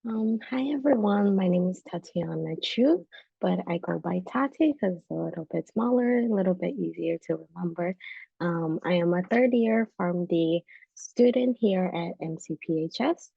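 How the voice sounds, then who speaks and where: A woman's voice: fairly low pitch, expressive, moderate pace, vocal fry, breathy edge, no echo. A young woman from Brazil, speaking earnestly and in a friendly way as a student panelist. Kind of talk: casual panel talk. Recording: tinny phone-like call audio.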